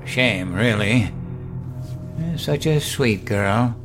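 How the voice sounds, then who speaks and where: An actor playing a folksy older grandfather in an audio drama, genial and halting, with something sinister underneath. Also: fairly low pitch, over music. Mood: wistful, folksy.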